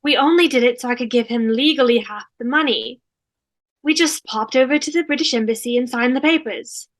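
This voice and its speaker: A woman's voice: fairly high pitch, a little fast, lightly acted. A woman on a video call table read, playing a posh, prim and gracious character. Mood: prim, breezy. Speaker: amateur table-read actor. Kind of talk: fan table read of TV comedy script.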